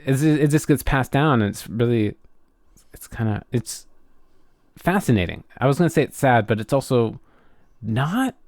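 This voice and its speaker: A man chatting casually and reflectively as a podcast co-host, often halting as he searches for words. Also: mid-range voice, animated, darkish tone, no echo, clean studio sound.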